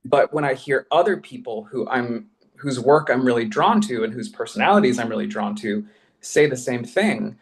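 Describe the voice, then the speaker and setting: A man's voice: medium pitch, animated, normal speed. A man speaking as a writer on a panel, reflective and casual, effusive about others and self-deprecating, often circling back over his phrases.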